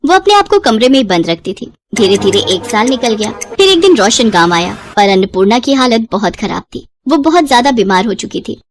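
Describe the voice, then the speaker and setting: A woman's voice: fairly high pitch, sing-song, a little fast, hardly any pauses. A woman reading a scripted moral story as its narrator.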